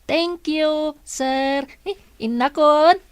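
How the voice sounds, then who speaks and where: Woman acting a slow-talking, high-voiced little boy. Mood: polite, eager. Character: polite, cheeky, eager little village boy. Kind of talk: Ilocano radio drama acting.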